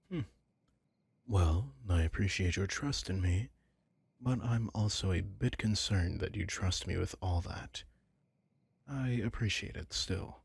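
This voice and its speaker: A man playing a soft-hearted but possessive young mafia heir in an intimate ASMR roleplay, speaking gently and haltingly in a husky, breathy voice. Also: lowish voice, dry recording. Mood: awkward, coaxing. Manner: halting, gentle, apologetic, slipping into whispers. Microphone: close, dry microphone.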